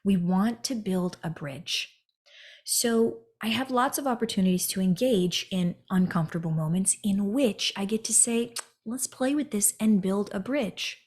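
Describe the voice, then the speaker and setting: A woman from the United States hosts a podcast alone and tells her own story. She talks to the listener directly and keeps asking "right?" as she goes. Her voice sits at an ordinary middle pitch for a woman. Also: measured pace, a little mellow. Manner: earnest, addresses listeners directly, often adds "right?". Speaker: podcast host. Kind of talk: personal storytelling monologue.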